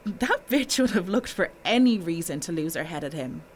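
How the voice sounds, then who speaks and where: A woman's voice: lowish voice, lively intonation, moderate pace, lightly acted. Woman acting, exasperated female witness, bitter, indignant, blunt, audio drama, faint ambient noise.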